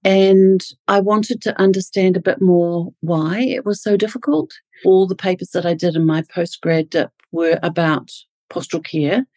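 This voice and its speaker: Middle-aged New Zealand woman, lowish voice, thoughtful, self-correcting, dry sound. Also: dark tone, dry recording. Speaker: pediatric physiotherapist guest. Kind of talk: interview answers.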